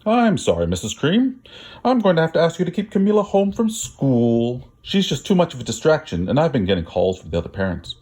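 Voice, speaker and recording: A man's voice: a little high, very animated, fairly quick, darkish tone, slightly rough, voice pushed up. A man voicing a formal, apologetic school principal from a children's storybook. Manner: formal, regretful.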